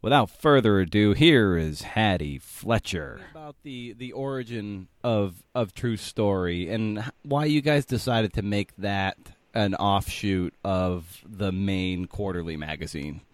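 Male podcast host, lowish voice, casual and wry delivery, very dry sound. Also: measured pace, dry recording. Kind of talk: scripted podcast intro and interview questions.

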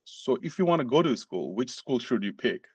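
A man's voice: mid-range voice, big pitch swings, moderate pace, a little hiss or hum. A middle-aged man from Nepal with a Nepali accent, telling his personal story as an interview guest. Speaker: podcast guest, medical student.

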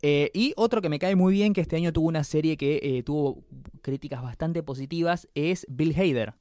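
A man with a Rioplatense Spanish accent, co-hosting a casual chat about TV series in a relaxed, talky manner. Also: on the high side, moderate pace, dry recording, clean studio sound. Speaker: podcast co-host.

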